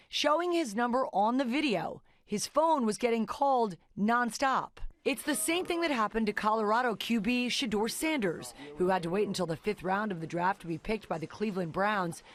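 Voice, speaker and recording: A woman's voice: on the high side, expressive, normal speed, no room sound, studio quality. A woman with an American accent narrating a scripted television news report as a correspondent.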